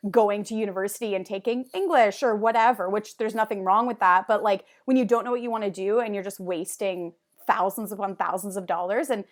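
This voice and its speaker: Woman, thirties, French first language, bubbly rambling chat, mid-pitched voice. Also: a little fast, hardly any pauses. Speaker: podcast guest. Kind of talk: casual interview chat.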